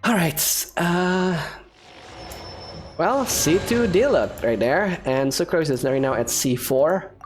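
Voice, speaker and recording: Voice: medium pitch, animated, takes it slowly. A video game streamer giving casual, chatty commentary to viewers, rambling and thinking aloud. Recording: game music behind the voice. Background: video game music.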